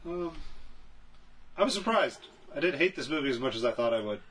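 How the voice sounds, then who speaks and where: A man's voice: on the high side, animated, fairly quick, a bit bright. A man co-hosting a podcast in casual conversation, giving opinions on films. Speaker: podcast co-host. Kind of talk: casual chat about films. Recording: clear background noise.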